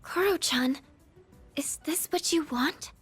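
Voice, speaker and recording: A woman voicing a friendly, expressive high-school girl in a video game. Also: slow, soft background music. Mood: surprised, caring.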